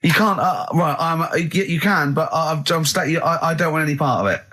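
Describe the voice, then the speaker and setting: A man's voice: on the high side, fairly quick, sharp tone. A man co-hosting a radio show with casual comic banter, emphatic and mocking in an exasperated way. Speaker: radio co-host.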